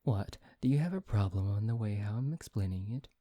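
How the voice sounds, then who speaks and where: Man voicing a proud teasing vampire, dark mellow tone, unhurried, dry close sound, intimate ASMR roleplay. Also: on the low side, lively intonation, no echo. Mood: calm, explanatory. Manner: teasing, haughty, halting, addressing one listener. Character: suave, teasing male vampire, proud and quick-tempered.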